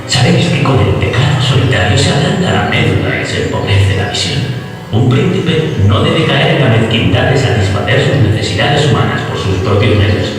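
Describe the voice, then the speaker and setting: A man's voice: mid-range voice, lively intonation, natural voice. Sanctimonious male priest played by an actor, swooping delivery, radio-drama style, cavernous reverberant hall. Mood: sermonizing. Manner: preachy, formal, drawn-out phrasing. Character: sanctimonious, hypocritical priest confessor to a prince. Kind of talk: theatrical radio drama reading. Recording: echoey hall, distant mic, music behind.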